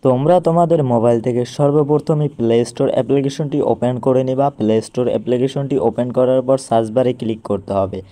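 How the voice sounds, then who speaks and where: A man's voice: mid-range voice, fast, hardly any pauses, warm tone. A man with a Bangladeshi accent giving an informal, instructional step-by-step screen walkthrough, repeating each step as he goes. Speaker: tutorial presenter.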